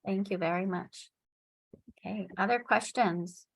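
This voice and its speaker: A woman's voice: medium pitch, a little slow, vocal fry, rough recording. Woman moderating a seminar Q&A. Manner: inviting, encouraging.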